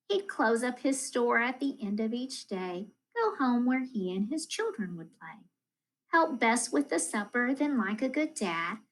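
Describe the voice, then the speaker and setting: A woman reading a children's storybook aloud as a school teacher, in an expressive, deliberate manner addressed to young listeners. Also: sits high, poor audio. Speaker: elementary school math coach reading to pupils.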